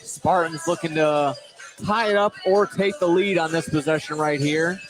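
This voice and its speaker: A man doing live play-by-play commentary of a high school basketball game from inside a gym. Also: moderate pace, music in the background, rough recording. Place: indoor gymnasium commentary position.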